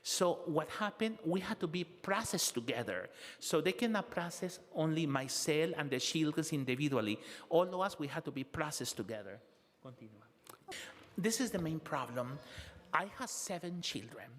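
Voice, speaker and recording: A man interpreting testimony in the first person, halting and filling pauses with "eh" and "huh?", at a city council hearing. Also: fairly high pitch, lively intonation, fairly bright tone, big-room sound. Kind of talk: consecutive interpretation of public testimony.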